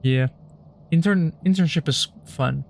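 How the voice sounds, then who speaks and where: A young man on a stream, explaining technical points in a dry, deadpan way with puns. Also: a little high, measured pace, gravelly, a little hiss or hum. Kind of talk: casual chat while gaming.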